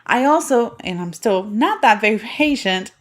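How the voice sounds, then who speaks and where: A woman's voice: a little high, expressive, normal speed. A woman in her thirties from Puerto Rico, hosting a solo podcast and telling a personal story in a chatty way. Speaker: podcast host.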